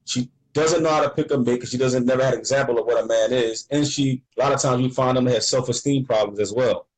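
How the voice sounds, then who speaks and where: Male interviewer, mid-pitched, measured and reflective. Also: poor audio. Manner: asks measured, reflective questions. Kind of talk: conversational interview.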